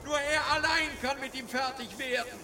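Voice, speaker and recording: An actor playing an anxious, fussy, very old fairy-tale collector in a children's radio play, excitable and pleading in a theatrical way. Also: male voice, very high-pitched, moderate pace, a crowd in the background. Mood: frightened, breathless.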